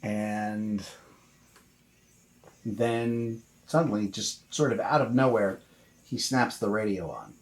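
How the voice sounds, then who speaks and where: A man acting as game master, improvising narration in a halting, conversational way. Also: fairly low pitch, rough recording.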